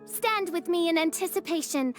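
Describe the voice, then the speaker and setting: A young self-styled princess of darkness in a video game. She speaks in a high, melodramatic voice with pompous, archaic grandeur and wide swings in pitch, music playing behind. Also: lively intonation, moderate pace. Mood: solemn, grandiose. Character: theatrical teenage girl playing a grandiose gothic princess.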